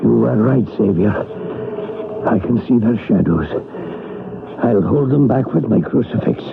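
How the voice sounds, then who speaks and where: A stern, commanding priest with a gravelly voice, the kind who faces down spirits with a crucifix. It comes through a tinny old radio broadcast, with a loud hiss behind it. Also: male voice, mid-range voice, measured pace. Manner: solemn, commanding. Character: stern middle-aged male priest exorcist. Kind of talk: 1970s radio drama acting.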